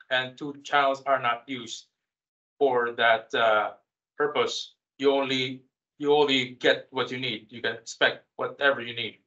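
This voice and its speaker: Bright-voiced man presenting, slightly dull audio. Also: mid-range voice. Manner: halting, hesitant delivery with repeated words. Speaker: product specialist presenting a webinar. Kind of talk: technical product webinar presentation.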